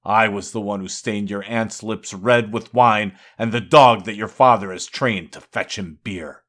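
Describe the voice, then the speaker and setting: Man voicing a boastful, forceful god of wine. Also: lowish voice, fairly even intonation, unhurried. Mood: proudly boasting. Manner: grandiose, insistent, declamatory.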